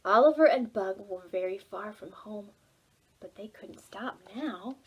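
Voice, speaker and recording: A woman reading a children's storybook aloud for preschoolers, dramatic and expressive, involving the young listeners. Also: mid-range voice, measured pace, slightly rough, muffled recording. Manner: animated, dramatic, asks listeners questions. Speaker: children's library storytime reader.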